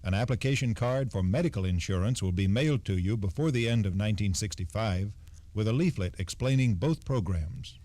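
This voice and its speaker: A man giving a scripted 1960s radio explanation as an expert, measured, formal and instructive. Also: fairly low pitch, mellow, no room sound. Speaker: Social Security expert guest.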